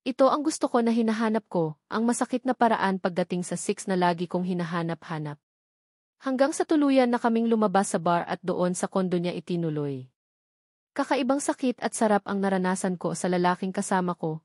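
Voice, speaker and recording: A woman's voice: medium pitch, a little mellow, no room sound, clean studio sound. A woman with a Filipino accent reading a scripted first-person story as the narrator of an audio novel.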